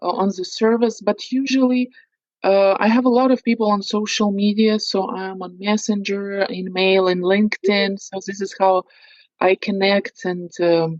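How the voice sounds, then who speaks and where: A woman's voice: mid-range voice, steady tone, a little fast, a little mellow, no echo, highs cut off. A middle-aged woman from Ukraine with a Russian accent, talking as an interview guest and searching for words with many fillers. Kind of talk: interview conversation.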